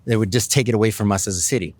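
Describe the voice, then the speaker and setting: A man's voice: lowish voice, very fast, darkish tone, no echo. A middle-aged Canadian man, a city council candidate, answering interview questions in a rambling way with many fillers and restarts.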